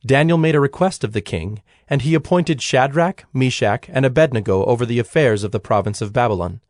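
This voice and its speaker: An actor serving as a solemn storyteller, narrating ancient scripture in a measured, formal way. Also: male voice, medium pitch, darkish tone, dry recording, clean studio sound, close to an everyday voice. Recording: music under the voice.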